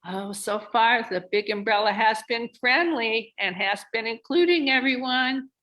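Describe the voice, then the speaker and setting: A woman co-presenting a children's read-aloud, thinking aloud and asking expressive questions. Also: on the high side, a little slow. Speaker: reading teacher, co-presenter. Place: online video session.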